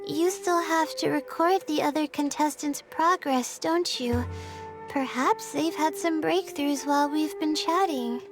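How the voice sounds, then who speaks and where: A very high-pitched young female student for an anime-style game. She is modest and anxious, speaking hesitantly but earnestly. Faint music plays underneath. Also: unhurried. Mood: polite, relieved. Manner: hesitant, earnest, soft-spoken.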